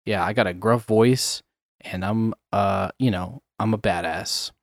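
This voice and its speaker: A man putting on a gruff, bland male game hero in a flat, mocking imitation. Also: on the low side, a little slow, no room sound, studio quality.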